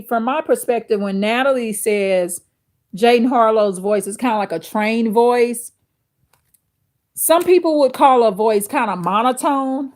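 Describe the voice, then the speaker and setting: A woman hosts a casual livestream and speaks straight to her viewers. Her voice is deep and authoritative, and she takes her time, thinking out loud with plenty of fillers. The talk sounds unscripted and conversational. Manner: slow, thinking aloud with fillers and restarts; addresses viewers directly. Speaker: livestream host reading chat. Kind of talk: casual chat with live viewers.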